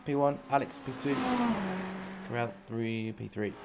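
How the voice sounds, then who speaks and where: Gravelly man commentating on sim racing. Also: medium pitch, steady tone, measured pace. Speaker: motorsport stream commentator. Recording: clear background noise on stream.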